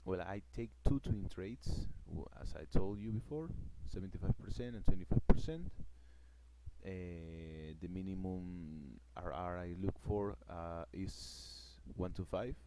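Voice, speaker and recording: A very deep-voiced man with a Peruvian Spanish accent, talking slowly and haltingly as he explains his trading plan in a casual vlog. He keeps searching for words, and his speech is full of fillers and restarts. Loud noise runs under the whole recording. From Peru. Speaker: forex trader vlogger.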